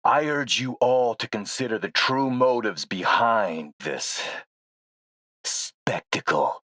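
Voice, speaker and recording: An actor playing a defiant, menacing warlord in a video game, making a deliberate and forceful speech. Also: male voice, lowish voice, lively intonation, dry recording, studio quality, full character voice. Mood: indignant, measured. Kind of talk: scripted game voice acting, speech.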